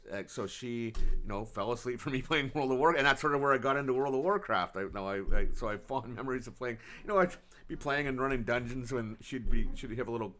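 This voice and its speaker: A man's voice: mid-range voice, fast, bright, forceful tone. A man co-hosting a casual chat about parenting, who rambles and restarts his sentences. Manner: rambling, restarts and laughs often. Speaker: podcast co-host.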